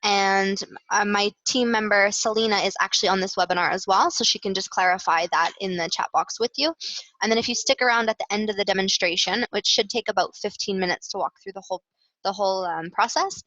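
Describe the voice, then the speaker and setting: A woman's voice: mid-range voice, fairly quick, sharp tone. A woman with a Canadian accent presenting a webinar product demonstration in an informal, explanatory way, with frequent hesitations. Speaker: client success manager presenting product demo. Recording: roomy, slightly dull webinar audio.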